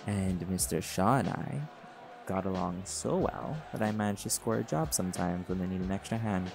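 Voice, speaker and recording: A man's voice: deep voice, normal speed, gravelly. A man playing a laid-back cocky jaguar shifter. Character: chill cocky male jaguar shifter pack alpha. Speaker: audio roleplay performer. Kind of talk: scripted audio roleplay monologue. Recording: music playing behind. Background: party music.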